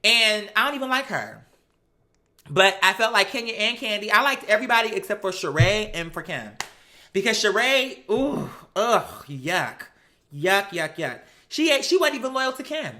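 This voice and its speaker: Bright-voiced, sassy American woman. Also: medium pitch, moderate pace. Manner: sassy, animated, slangy, acts out imagined dialogue; blunt, profane. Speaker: host of a reality TV commentary show. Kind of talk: casual opinionated TV recap chat.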